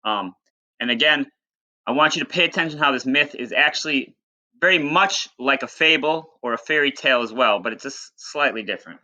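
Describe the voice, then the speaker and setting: A man's voice: mid-range voice, a little fast, pushed and bright. A man reading a story aloud like a teacher, with informal, instructive asides to his listeners. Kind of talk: read-aloud storytelling with plain explanatory asides. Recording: dull, lo-fi sound.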